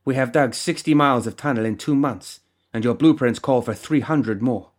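A man voicing a fierce, resentful woman, a rail-worker rebel bound by duty, with a stiff, forceful delivery in an audiobook. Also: medium pitch, moderate pace, natural voice. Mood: bitter fury. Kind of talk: audiobook character acting.

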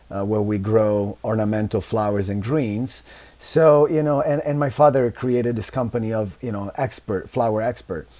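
A man's voice: on the low side, dark tone. A man in his thirties from Italy with an Italian accent, a guest telling his life story in a loose, rambling way full of restarts. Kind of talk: casual interview chat.

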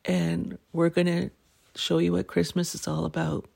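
A middle-aged woman from Canada, hosting a podcast and telling a family story in casual chat, clearing her throat as she loses her voice. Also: fairly low pitch, flat delivery, moderate pace, darkish tone. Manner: laughing, self-deprecating jokes. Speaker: podcast host.

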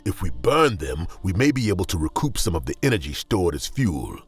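An actor playing a grim, pragmatic ship officer in an audio drama, delivering measured, matter-of-fact reports. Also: male voice, sits low, big pitch swings, husky, heavily acted. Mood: coldly pragmatic. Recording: faint music behind.

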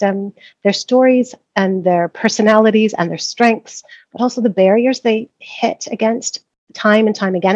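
A middle-aged woman from Canada answers interview questions in a thoughtful, searching way, often restarting her sentences. Her voice has a gravelly edge. The recording is dry and a little dull. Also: mid-range voice, darkish tone, no echo. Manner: thoughtful, many restarts and fillers. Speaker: guest, professor of practice. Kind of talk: conversational interview.

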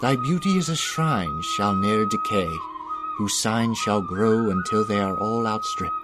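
Male actor as lovelorn cook, audio drama, florid verse, theatrical, very animated pitch, loud music behind. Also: unhurried. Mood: lovelorn, pleading. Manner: ardent, florid, poetic. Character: lovelorn cook, long-lost father, reciting verse.

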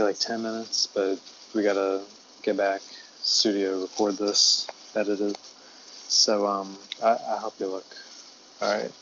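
A man playing a mumbling deadpan tour guide. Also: highs cut off, slight background noise. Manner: low, quiet, rambling with many fillers.

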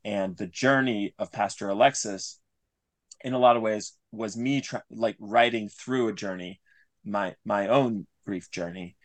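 Earnest man, lower-pitched, in dry close sound. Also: a little slow, no echo. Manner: earnest, explanatory, often restating phrases. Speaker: interview guest, audio drama creator. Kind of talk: conversational interview answers.

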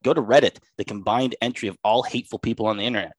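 A man with a lowish voice and a stuffed-up cold chats on a podcast. He gets worked up and rants in exasperation. The sound is close and dry, with no room echo. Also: racing. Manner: ranting, exasperated. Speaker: podcast co-host. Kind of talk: casual chat.

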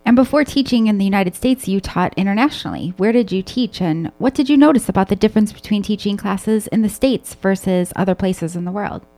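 A woman co-hosting a podcast, asking a guest measured, clearly phrased questions. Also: medium pitch, expressive, warm tone. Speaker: podcast co-host. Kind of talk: asking prepared interview questions.

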